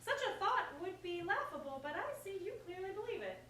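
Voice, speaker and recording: A woman's voice: very high-pitched, very animated, fairly quick, pushed and bright, exaggerated voice. A woman playing a witty, defiant young working woman in a staged reading of a verse comedy, with tart, challenging retorts. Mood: indignant. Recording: distant mic, echoey room, clear noise.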